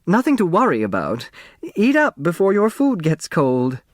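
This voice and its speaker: A man's voice: high-pitched, wildly swooping pitch, normal speed, no room sound, clean studio sound, pitched above the performer's own voice. A man voicing a determined society mother and hostess who breezily glosses over trouble in a storybook. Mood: falsely breezy.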